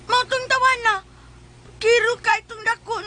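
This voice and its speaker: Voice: very high-pitched, level tone, normal speed, sharp tone, slight background noise. A performer voicing a whiny, complaining young school child with a Cebuano accent in a comedy skit. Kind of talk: comedy radio-style skit in Bisaya.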